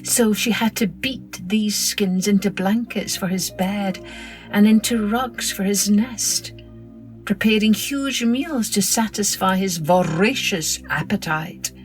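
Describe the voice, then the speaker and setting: A woman narrating a children's story in an expressive, dramatic storytelling manner. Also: mid-range voice, takes it slowly, soft background music. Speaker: storyteller, podcast host.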